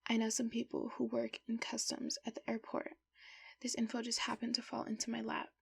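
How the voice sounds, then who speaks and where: A woman performs an authoritative middle-aged principal who secretly bosses a crew in an anime-style dub. Her voice sits on the high side, very creaky and raspy. She lays out business in a measured, controlled way. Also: completely flat delivery, heavily acted. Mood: businesslike, secretive. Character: middle-aged female school principal running a criminal crew, commanding.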